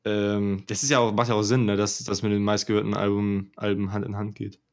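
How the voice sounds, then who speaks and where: A man's voice: lowish voice, flat delivery, fairly quick. A young man co-hosting a podcast, chatting casually and emphatically about rap albums. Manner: enthusiastic, emphatic, slangy. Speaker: podcast co-host. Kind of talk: casual chat about music.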